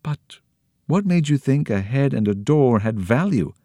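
A man plays a wary girl sleuth in a storybook, hushed and suspicious, whose voice leaps up shrilly when something startles her. The reading is dry and close. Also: medium pitch, lively intonation, measured pace, no echo, voice pushed up. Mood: startled. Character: sharp, suspicious young girl, sister.

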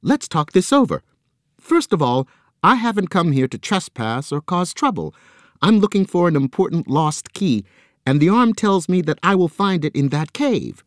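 A man voicing Joe, an eager, friendly young man who speaks in a raised, excited voice. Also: medium pitch, moderate pace, dry recording, clean studio sound, voice pushed up, close to an everyday voice.

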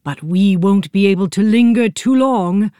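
A woman voicing a crowd of sneering, joking egg-yolk invaders, slow and gloating. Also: mid-range voice, expressive, warm tone, dry recording, studio quality, natural voice. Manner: gleeful, mocking, drawn-out.

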